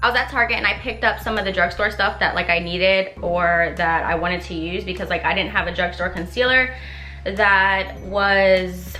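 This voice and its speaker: A woman's voice: mid-range voice, steady tone, moderate pace, bright, forceful tone, echoey room, soft background music. A young woman chatting through a makeup tutorial, rambling and playful, a little congested and nasal. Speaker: beauty vlogger. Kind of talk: casual makeup tutorial chat.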